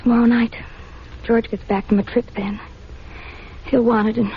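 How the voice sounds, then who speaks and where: A woman's voice: a little high, flat delivery, moderate pace, close to an everyday voice. An actress playing a fretful young engaged woman in a 1940s radio melodrama, breathless, hesitant and imploring. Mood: anxious confiding. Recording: muffled, noisy 1940s radio recording.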